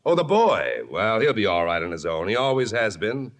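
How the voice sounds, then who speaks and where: A man's voice: on the low side, big pitch swings, normal speed. Breezy, wealthy, self-important father. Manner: breezy, clipped, offhand. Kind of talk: old-time radio drama acting.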